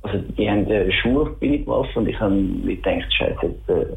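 A man's voice: lowish voice, quick pace, creaky. A middle-aged man with a Schaffhausen Swiss German accent answers interview questions casually, searching for words with enthusiasm. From Schaffhausen, Switzerland. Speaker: interviewed band musician. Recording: thin phone-like sound.

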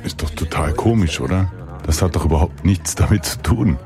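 Very deep male voice-over translator, calm read, music bed behind. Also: brisk. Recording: voice-over with clear music underneath.

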